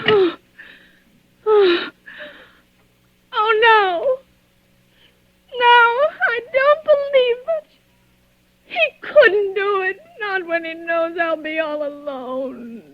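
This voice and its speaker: A nervous, self-pitying invalid wife with a very high voice. She stammers and demands in melodramatic 1940s radio style. The old recording is dull and very dry. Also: very slow, long pauses, dry recording, heavily acted. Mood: anguished. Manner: stammering, imperious, panicky. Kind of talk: 1940s radio thriller acting.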